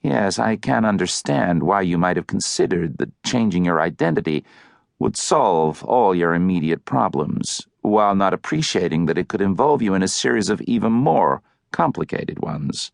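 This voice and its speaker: A man acts out an elderly lawyer from an audiobook, shrewd and coolly probing. The voice is low and creaky, with formal, deliberate phrasing and a dry, close sound. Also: expressive, no echo.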